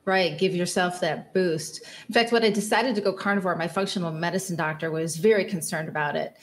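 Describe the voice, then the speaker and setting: Chatty middle-aged woman, lowish voice. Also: brisk, a little hiss or hum. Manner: chatty, laughs often, repeats words when starting. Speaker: podcast guest. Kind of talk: casual interview storytelling.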